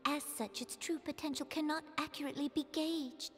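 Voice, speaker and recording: A woman's voice: high-pitched, fairly even intonation, fairly quick, over music, close to an everyday voice. An actress playing a regal, earnest and stern female ruler in a fantasy game cutscene, speaking formally in archaic phrases. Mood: solemn. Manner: formal, imperious, archaic speech. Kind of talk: dramatic scripted game cutscene acting. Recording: long echoing reverb.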